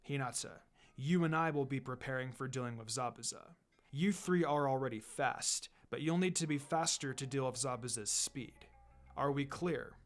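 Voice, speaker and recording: A man voicing a calm, firm, encouraging adult ninja teacher with a measured, instructive delivery in a story reading. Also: medium pitch, pitched below the performer's own voice, natural voice. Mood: firm, businesslike. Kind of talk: fan fiction reading with character voices. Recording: music behind the voice.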